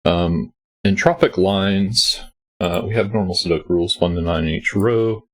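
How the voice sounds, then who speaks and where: A man hosting a sudoku walkthrough, gravelly and nearly losing his voice, explaining each step patiently with many ums. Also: low voice, normal speed, a bit bright. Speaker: sudoku puzzle setter and walkthrough host. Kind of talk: explaining a puzzle solve.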